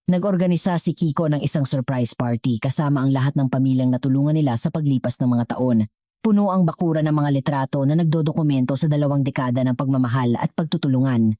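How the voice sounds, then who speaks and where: A woman narrates a scripted story in a very low-pitched voice. Her tone is soft and dark, and the sound is dry and close, with little room on it. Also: fast, dry recording.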